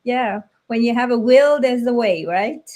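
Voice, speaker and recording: A woman's voice: a little high, measured pace, darkish tone. A middle-aged woman from Vietnam casually sharing her personal story as a guest on a live video call. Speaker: guest, business coach and part-time teacher.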